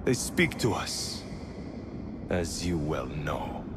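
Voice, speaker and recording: A slow, haughty zealot villain. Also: male voice, very deep voice, very animated, very noisy, heavily acted. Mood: fervent, menacing. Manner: haughty, deliberate, theatrical. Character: arrogant male zealot villain, cruel and fanatical. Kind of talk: dramatic video game acting.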